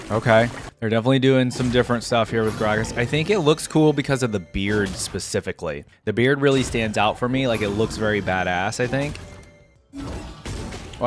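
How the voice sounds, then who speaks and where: Man giving casual reactions over game music. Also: on the low side. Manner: thinks aloud, hedging opinions. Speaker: video creator reacting to game skins.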